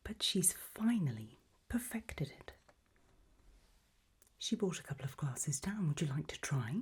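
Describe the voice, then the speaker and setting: A woman playing an elegant, doting gothic lady hostess in a whispered ASMR roleplay, with a soft breath and gracious, languid manner. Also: deep voice, sing-song, a little slow, slightly rough, exaggerated voice.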